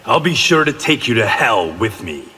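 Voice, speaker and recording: An actor playing a stern, hostile warrior defending his realm in a video game, blunt and forceful with a rough, raspy voice. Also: medium pitch, sing-song, normal speed, very noisy, natural voice. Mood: grim contempt. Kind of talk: video game combat banter. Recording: echoing hall reverb.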